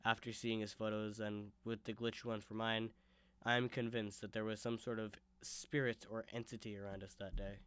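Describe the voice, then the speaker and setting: A man's voice: on the low side, little pitch movement, moderate pace, fairly bright tone, clean tone, no room sound. A young man telling a story and chatting casually on a vlog while sick with a sore throat and blocked sinuses. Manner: casual, conversational. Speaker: YouTuber.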